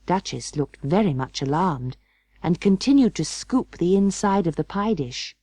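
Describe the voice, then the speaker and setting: A woman narrating a children's story as an audiobook, in a measured, expressive way. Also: fairly low pitch, no echo.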